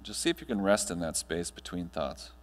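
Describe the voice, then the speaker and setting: An American man in his thirties speaking as a trainer to an audience, guiding them in a measured, instructional way with deliberate pauses. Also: deep voice, expressive, in a large hall. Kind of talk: guided breathing meditation and talk to an audience. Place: large hotel conference ballroom, on stage.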